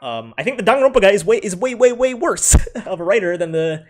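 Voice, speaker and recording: A man's voice: sits high, big pitch swings, fast talker, soft background music. A man with an American accent, raised in the Philippines, casually ranking anime in a rambling way, full of fillers and self-corrections. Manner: laughs often while talking.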